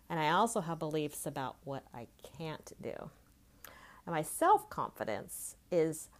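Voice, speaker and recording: A woman in her late fifties speaking as a life coach, giving an informal, reflective motivational podcast monologue in a conversational way. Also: low-pitched. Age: late 50s.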